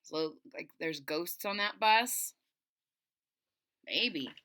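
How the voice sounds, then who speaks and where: A woman's voice: lowish voice, lively intonation, drawn-out words, sharp tone, smooth. A middle-aged woman reading a picture book aloud to children, expressively, with explanatory asides and dramatic emphasis. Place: small indoor room at home.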